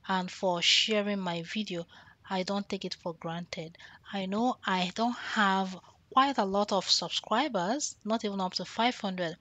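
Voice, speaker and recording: A woman's voice: medium pitch, fairly bright tone. A Nigerian woman talks her viewers through a family meal in a chatty, friendly cook-along style. She leans on repeated words for emphasis and keeps checking in with "okay?". Her mid-range voice sounds close and dry, with no room echo. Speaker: cooking vlogger. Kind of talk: casual cook-along chat.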